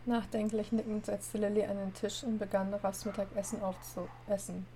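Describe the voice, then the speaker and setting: A woman with a cold hosting a podcast and reading a story aloud in a steady, plain way. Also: medium pitch, moderate pace, a little hiss or hum, poor audio. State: has a cold. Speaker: narrator and host.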